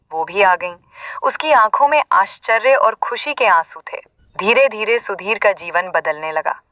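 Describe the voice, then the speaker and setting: A woman's voice: mid-range voice, pushed and bright. A woman telling a moral folk tale as a scripted narration. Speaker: storyteller.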